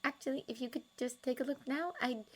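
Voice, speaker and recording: Woman voicing a nervous, soft-spoken young woman, higher than her narration, uncertain delivery. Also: a little fast, husky. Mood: shy. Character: shy, nervous young woman, hospital worker.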